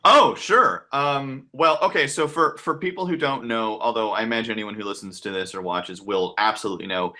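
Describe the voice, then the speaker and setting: A man's voice: fairly low pitch, fairly quick, few pauses, sharp tone. A man hosting a casual interview, asking questions in a hesitant, rambling way with frequent restarts. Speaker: interviewer, host.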